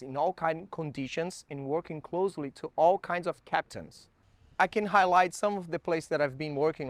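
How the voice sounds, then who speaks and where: A young Brazilian man with a clear Portuguese accent talks through a coastal weather outlook, keen and a little loose with his English grammar. His pitch sits in an ordinary middle range for a man. The sound is close and dry, with no room echo behind it. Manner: enthusiastic, slightly broken English. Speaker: weather presenter. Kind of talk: casual presenting.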